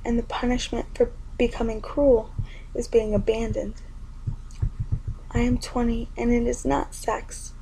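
A young woman performing spoken word poetry in an intimate, often whispered delivery with a gravelly edge. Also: fairly high pitch, little pitch movement, unhurried, mellow, a little hiss or hum, low-quality recording.

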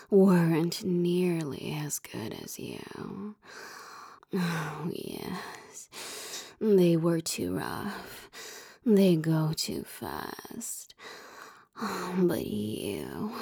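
A woman speaking in a soft, coaxing, teasing whisper for a roleplay audio, with sighs and moans. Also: lowish voice, no echo, studio quality. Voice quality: whispery, breathy.

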